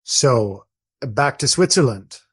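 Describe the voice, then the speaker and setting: A man's voice: medium pitch, animated, slightly rough, no room sound. Dignified old friar, played by a man, dry close sound. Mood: solemn, courteous. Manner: measured, courteous, formal. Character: elderly dignified Trappist friar, once an adventurer. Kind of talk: radio play acting.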